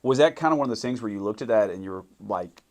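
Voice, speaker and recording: Deep-voiced man hosting a relaxed chat. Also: quick pace. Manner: relaxed, short reactions, rambling questions. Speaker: podcast host. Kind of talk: casual interview chat.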